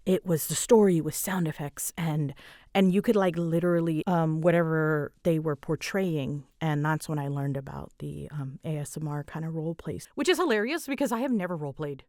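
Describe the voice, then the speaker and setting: A woman's voice: deep voice, moderate pace, darkish tone, no room sound, studio quality. A woman chatting casually and animatedly as she answers listener questions, with a giggly, self-deprecating manner. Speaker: hobbyist audio roleplay creator answering listener questions.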